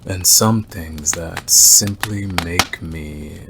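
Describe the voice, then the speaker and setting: An obsessive werewolf stranger played by a man speaks very low and slowly, teasing and menacing his prey in an ASMR roleplay. He leaves long, deliberate pauses, and clear background noise with clicks sits behind the voice. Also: exaggerated voice. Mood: sly, intent.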